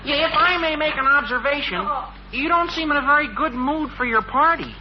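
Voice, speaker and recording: An actor playing an overeager, florid American teenage schoolboy in a 1950s radio sitcom. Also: male voice, extremely high pitch, fairly quick, crowd noise. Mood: chummy. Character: eager, blabbermouth teenage high-school boy, florid and flattering. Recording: dull 1950s radio recording, faint noise.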